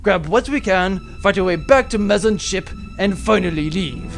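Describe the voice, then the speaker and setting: A man's voice: sits high, lively intonation, normal speed, gravelly, faint music behind. A man voicing a bumbling, nervous pirate leader in a comedic audio drama, hesitant and rambling.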